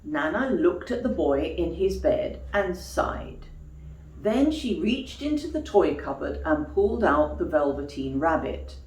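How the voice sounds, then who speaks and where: A woman storyteller reading expressively to young children like a teacher, pausing for questions and explanations. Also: deep voice, very animated, a little mellow. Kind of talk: children's storytime read-aloud with explanatory asides. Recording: echoey room.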